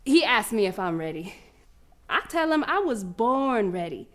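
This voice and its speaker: A woman's voice: fairly high pitch, very animated, moderate pace. A woman playing a fierce, proud young girl runner, telling her story as a brash, streetwise dramatic monologue. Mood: confident, brash. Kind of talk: dramatic first-person monologue.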